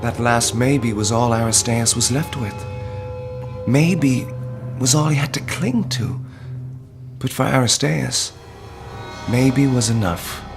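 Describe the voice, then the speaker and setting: A man's voice: medium pitch, takes it slowly, long pauses. An actor playing a wry storyteller from Greek myth, narrating his tale theatrically in a comic audio drama. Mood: wistful. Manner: theatrical, wry, dwells on words. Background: prominent music.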